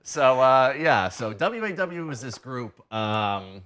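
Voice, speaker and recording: A man's voice: medium pitch, very animated, takes it slowly, over a noisy crowd. A man in his thirties from New Hampshire, a guest wrestler chatting in a TV studio and rambling through many fillers. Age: 30s. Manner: rambling, heavy on "you know" and "like" fillers. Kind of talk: casual interview chat. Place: TV studio set.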